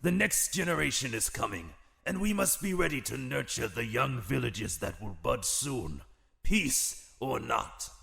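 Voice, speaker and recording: A man acts out a weary elder statesman of an anime audio drama. The voice is very gravelly and mid-pitched, and the delivery is solemn and philosophical. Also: animated, bright, forceful tone, heavily acted. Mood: grave, resigned. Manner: measured, formal, philosophical. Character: old retired male village leader, solemn, weary, dignified warrior.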